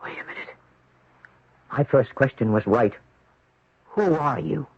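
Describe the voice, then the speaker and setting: An actor playing a wary young surfer in a 1970s radio drama, speaking and narrating in a dramatic, emphatic way. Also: male voice, mid-range voice, measured pace, creaky, breathy edge, no echo. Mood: bewildered, agitated. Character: bewildered young surfer man, wary and agitated. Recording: dull, noisy 1970s radio recording.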